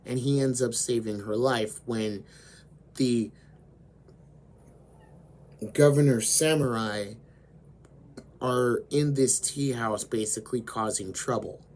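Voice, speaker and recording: A man with a hoarse voice, slowly retelling an anime story as host of his own channel. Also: medium pitch, a little mellow. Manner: slow, deliberate storytelling with frequent fillers.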